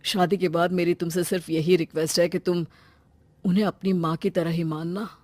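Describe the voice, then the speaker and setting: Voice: mid-range voice, little pitch movement, moderate pace, a little mellow, dry recording, lightly acted. An actor playing a devoted, easygoing young husband and son in a cartoon family drama. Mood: earnest.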